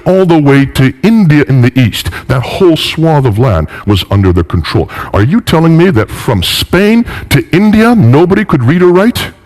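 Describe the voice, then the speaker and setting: A middle-aged man giving a polemical history lecture, emphatic, with rhetorical questions and repeated phrases. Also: mid-range voice.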